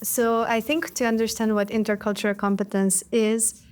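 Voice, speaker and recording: A young woman from Hungary, a master's student and podcast guest, explaining ideas in a measured way. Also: on the high side, level tone, clean tone. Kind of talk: interview answers.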